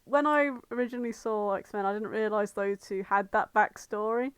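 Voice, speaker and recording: A woman's voice: mid-range voice, moderate pace, smooth, dry recording. A female podcast co-host with a mid-range voice in a dry recording. She talks casually and bluntly about fandom, with strong opinions and the odd swear word. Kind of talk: casual chat on a fan podcast.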